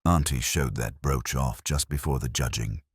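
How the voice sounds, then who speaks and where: A man's voice: extremely low pitch, normal speed, husky, no room sound, clean studio sound. A man voicing a stern, suspicious young niece in an audio mystery, firm and accusatory. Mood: accusing.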